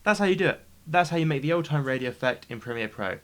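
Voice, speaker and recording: A man's voice: on the high side, animated, quick pace. A man hosting a video editing tutorial in a casual, instructional way, often checking in with short tag questions. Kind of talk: casual spoken software tutorial.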